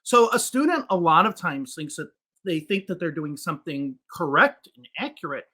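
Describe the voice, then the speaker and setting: A man's voice: fairly high pitch, sing-song, moderate pace, sharp tone. A man who teaches English, answering interview questions on a podcast in a thoughtful, explaining way. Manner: explanatory, thinks aloud with restarts.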